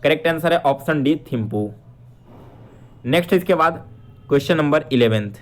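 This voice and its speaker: A man presenting an exam-prep quiz lesson, explaining answers to students with frequent check-in tags and English terms mixed in. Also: fairly high pitch. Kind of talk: instructional quiz walkthrough, addressing students.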